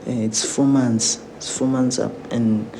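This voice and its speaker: A South African man answering as an interviewee in halting, plain-spoken testimony. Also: mid-range voice, fairly even intonation, gravelly, noisy background.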